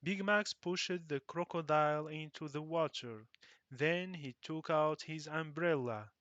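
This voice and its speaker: Guy reading a kids bedtime story, slow and clear-cut, bright voice, no room sound. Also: fairly high pitch, dry recording, muffled recording. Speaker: storybook narrator. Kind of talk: read-aloud children's story narration.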